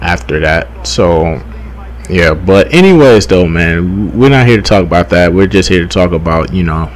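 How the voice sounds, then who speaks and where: A young man giving casual, rambling gaming commentary, halting, repeating himself and swearing freely. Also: low voice, lively intonation. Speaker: gaming YouTuber.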